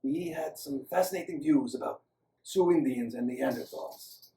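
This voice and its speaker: A man voicing a gentle, courteous older male doctor with an Eastern European accent in a story, speaking hesitantly. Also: mid-range voice, normal speed, vocal fry, close to an everyday voice. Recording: roomy, echoing, distant microphone.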